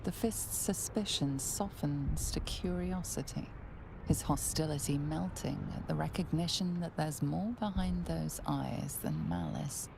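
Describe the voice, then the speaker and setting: An actress playing a grave narrator of a dark fantasy game, with a measured, hushed, ominous delivery. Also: female voice, sits low, gravelly, noisy background.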